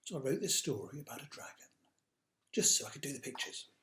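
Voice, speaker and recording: A man reading a picture book aloud to children, with dramatic drawn-out emphasis and hushed asides. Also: mid-range voice, sing-song, a little hiss or hum. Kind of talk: animated children's storytime narration. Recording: roomy, echoey sound.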